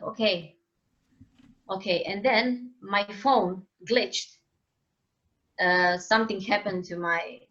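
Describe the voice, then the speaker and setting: A Croatian woman in an interview, her English careful and unhurried, with a Croatian accent. She hunts for the right words, repeats herself and fills gaps with "like". The sound is dull and lo-fi. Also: medium pitch, fairly bright tone. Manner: searching for words, frequent "like" and restarts, laughs often. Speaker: guest, singer-songwriter. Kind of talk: casual interview conversation.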